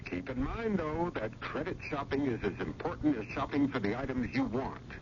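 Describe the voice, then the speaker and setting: A man's voice: medium pitch, sing-song, moderate pace. Guy doing old radio psa, very up-and-down delivery, explains stuff straight to you, hissy recording. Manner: emphatic, explanatory, direct address. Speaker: radio public service announcer. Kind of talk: scripted consumer advice spot, 1970s radio. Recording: muffled radio broadcast, clear noise.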